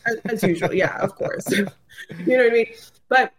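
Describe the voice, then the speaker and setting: A woman's voice: medium pitch, normal speed. A woman speaking as an interview guest in casual chat, emphatic and colloquial, often checking that the listener follows. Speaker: podcast guest, writer.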